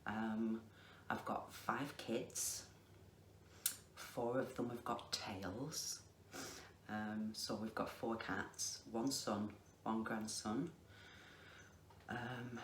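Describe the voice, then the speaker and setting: A middle-aged woman with a Lancashire accent, chatting casually to camera in a slow, deliberate way with many ums. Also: very deep voice, fairly bright tone. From England. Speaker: craft vlogger. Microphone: facing the camera. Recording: echoey room with faint noise.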